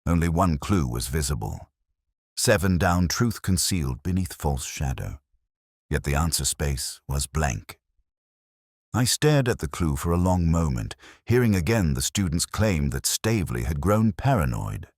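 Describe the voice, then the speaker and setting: A man narrating a first-person detective mystery in a measured, reflective, literary manner. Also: extremely low pitch, very animated, a little mellow, no room sound, studio quality.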